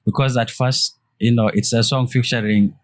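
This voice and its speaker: A man hosts a casual interview. His pitch is in the middle range and the sound is dry, with little room. He talks informally and often chimes in to agree. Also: fairly even intonation, normal speed, no echo. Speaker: podcast host.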